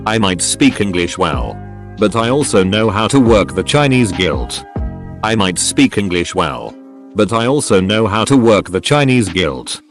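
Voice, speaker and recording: Male voice-over reader, lowish pitch, steady delivery, light music bed underneath. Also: a little slow. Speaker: narrator reading online posts. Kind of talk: scripted narration. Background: soft background music.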